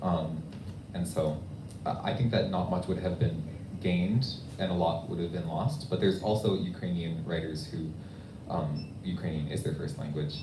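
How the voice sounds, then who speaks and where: A man speaking conversationally as an author at a question-and-answer event, thoughtful and halting with pauses. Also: low voice, steady tone. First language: English. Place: bookstore event room. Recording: echoey room, distant mic, noisy.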